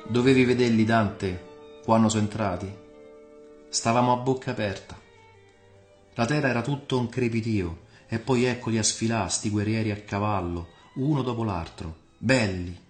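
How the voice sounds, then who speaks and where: A man's voice: on the low side, moderate pace, lightly acted. A man voicing a fervent, talkative Roman patriot in a story, with a Roman accent and vivid, emphatic colloquial storytelling. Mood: awed, excited. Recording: reverberant voice over music.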